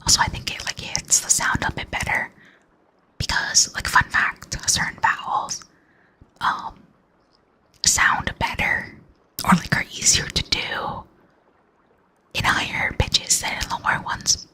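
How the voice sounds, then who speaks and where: Bright, close whispering ASMR host. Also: unhurried, pauses often. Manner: rambling, chatty whisper full of fillers; laughs softly between thoughts. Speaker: ASMR streamer. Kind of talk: whispered ASMR chat with viewers. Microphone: close mic, near enough to blow into.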